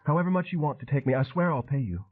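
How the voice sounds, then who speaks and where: A man's voice: medium pitch, expressive, very fast, voice pushed up, lightly acted. A man voicing a desperate pleading young woman. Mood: anxious pleading. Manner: anxious and pleading. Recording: tinny phone-like sound.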